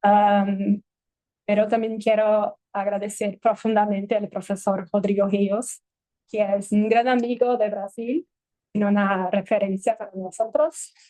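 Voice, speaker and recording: Woman, Brazilian accent, native Portuguese speaker, academic panel talk, halting pauses, dry close sound. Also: mid-range voice, steady tone, warm tone, dry recording, rough recording. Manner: measured, halting, many pauses. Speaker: panel speaker, international law lawyer. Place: university event hall. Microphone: microphone at a panel table.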